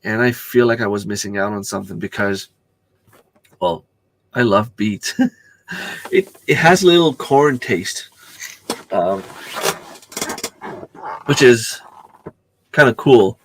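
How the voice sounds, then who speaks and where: A middle-aged man with a hoarse voice from a cold, chatting casually on a home live stream and greeting viewers by name. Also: fairly low pitch, lively intonation, slow, long pauses, slight background noise, poor audio. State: losing his voice from a cold. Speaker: channel host.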